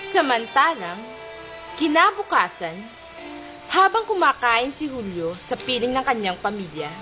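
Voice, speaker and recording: A woman's voice: sits high, big pitch swings, a little slow. A young Filipino woman reading scripted narration for an amateur radio drama. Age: young adult. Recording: loud music under the voice.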